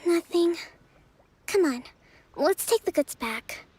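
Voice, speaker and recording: High-voiced, composed young strategist. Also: female voice, expressive, darkish tone, slight background noise, full character voice. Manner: measured, thoughtful, formal. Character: gentle, composed young female leader and strategist. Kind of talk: video game character voice acting.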